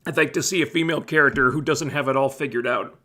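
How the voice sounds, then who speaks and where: A man with a slightly scratchy, phlegmy throat chats with viewers on a livestream. His pitch sits in the middle for a man, and he talks in an easygoing, meandering way, often starting a sentence over. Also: fast. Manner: relaxed, rambling, self-interrupting. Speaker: livestream host reviewing TV shows. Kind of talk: casual livestream chat answering viewers.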